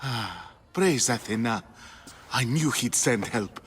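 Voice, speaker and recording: An actor playing an anxious, vain Greek artist in a video game, fretful and theatrical. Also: male voice, medium pitch, lively intonation, slow, fairly bright tone, a little hiss or hum. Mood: nervous relief. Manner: fretful, dramatic. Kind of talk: video game cutscene acting.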